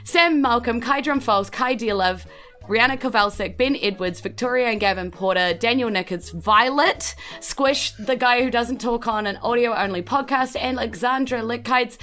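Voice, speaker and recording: A woman's voice: a little high, moderate pace, fairly bright tone. A woman with a New Zealand accent hosting a tabletop game podcast as game master, speaking in a gushing, affectionate way. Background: faint music.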